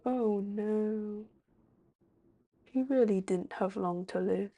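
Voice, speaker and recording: A woman's voice: mid-range voice, slow, dark tone. A woman livestreaming a game and chatting casually with her viewers. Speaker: live streamer.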